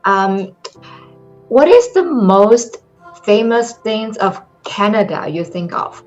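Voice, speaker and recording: A woman's voice: mid-range voice, big pitch swings, takes it slowly, darkish tone, dull audio, soft background music. A young woman from China with a Chinese accent, giving an online presentation in careful English with frequent ums. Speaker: presenter for a study-abroad program.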